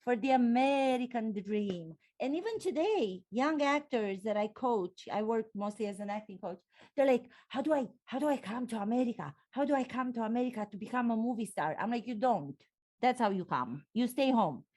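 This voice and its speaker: Expressive Romanian-accented woman host. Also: on the high side, normal speed. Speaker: podcast host and acting coach. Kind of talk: interview conversation.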